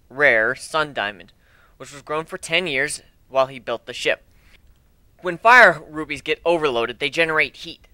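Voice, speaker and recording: A man's voice: on the high side, pushed and bright, clear, resonant tone, dry recording. A man giving an amateur dramatic reading of a first-person adventure story.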